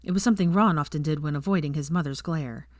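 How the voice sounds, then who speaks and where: A woman's voice: deep voice, fast talker, darkish tone, no room sound. A woman reading fiction aloud as a scripted audiobook narration, recorded close to the microphone.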